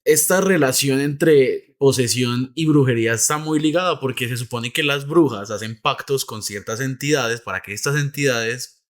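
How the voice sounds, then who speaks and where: A man's voice: medium pitch, moderate pace. Colombian man from Huila, chatty co-host. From Huila, southern Colombia. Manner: attentive listener, frequent backchannels, then joins in eagerly. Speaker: podcast co-host. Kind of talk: casual conversational chat.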